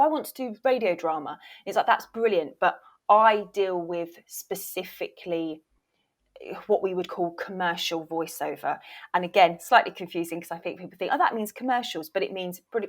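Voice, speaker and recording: A woman speaking as an interview guest, explaining things conversationally and emphatically. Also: on the low side. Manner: emphatic, often voices imagined questions from actors. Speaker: interview guest, voice reel producer and former voiceover agent.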